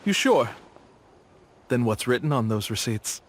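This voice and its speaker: An actor playing a slick, evasive male tour guide and swindler in a video game, smooth-talking and self-assured. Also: medium pitch, sing-song, a little slow, a little hiss or hum. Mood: smug. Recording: game music behind the voice. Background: game music.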